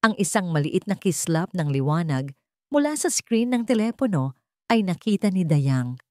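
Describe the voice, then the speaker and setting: A woman's voice: lowish voice, sing-song, normal speed, a little mellow, no echo, clean studio sound. A woman reading a dramatic story as a scripted narration.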